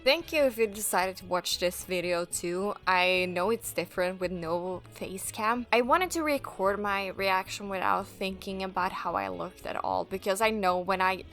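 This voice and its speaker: A woman's voice: mid-range voice, moderate pace, hardly any pauses, fairly bright tone, soft background music. A young woman from Norway, a native Norwegian speaker with a Norwegian accent, giving chatty, candid commentary on her gameplay. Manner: chatty, candid, laughs easily. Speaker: gaming channel host.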